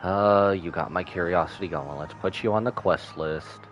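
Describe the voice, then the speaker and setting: A man's voice: deep voice, normal speed, slight background noise. A man livestreaming a game, giving playful commentary and reading dialogue aloud. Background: faint game music.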